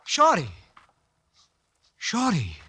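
An American actor playing a troubled, impulsive champion boxer in a 1950s radio drama, emotional in delivery. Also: male voice, high voice, wildly swooping pitch, extremely slow, heavy background noise, full character voice. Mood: alarmed. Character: hot-headed, troubled champion prizefighter, a man. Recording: vintage radio recording with music.

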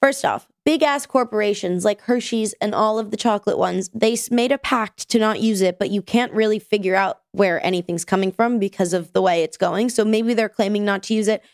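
A woman's voice: medium pitch, a little fast, few pauses, dry recording, clean studio sound. A woman co-hosting a casual podcast, chatty and sick with a nasal voice. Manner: chatty, tells stories with acted quotes. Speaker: podcast co-host.

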